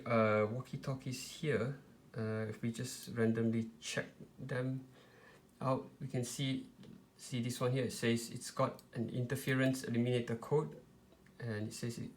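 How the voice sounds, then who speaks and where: A man presenting an informal step-by-step tutorial, explaining in a hesitant, halting way with frequent pauses. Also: mid-range voice, flat delivery, a little hiss or hum, poor audio. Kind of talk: informal step-by-step product demonstration.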